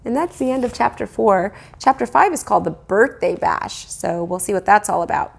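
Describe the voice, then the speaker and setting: A woman's voice: mid-range voice, lively intonation, moderate pace, creaky. A woman teacher reading a children's story aloud, expressively and speaking directly to young listeners. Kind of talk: storybook read-aloud narration.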